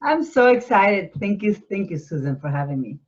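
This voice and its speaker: A woman's voice: medium pitch, very animated, moderate pace, a little mellow. Argentine-born woman, dull lo-fi sound. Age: 40s. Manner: explanatory, frequent rhetorical tags like "right?". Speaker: panelist, business coach. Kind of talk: conversational interview answers.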